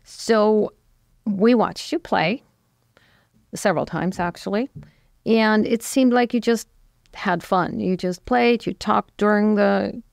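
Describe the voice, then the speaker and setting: A woman's voice: mid-range voice, takes it slowly, creaky, no echo. A woman radio host asking guests friendly, rambling questions in a studio.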